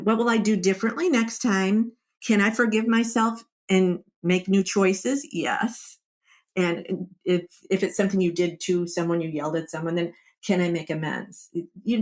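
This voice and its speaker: A woman's voice: medium pitch, darkish tone. A woman speaking as a wellbeing coach in an interview, explaining her advice conversationally and voicing little example quotes. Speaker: wellbeing coach, podcast guest.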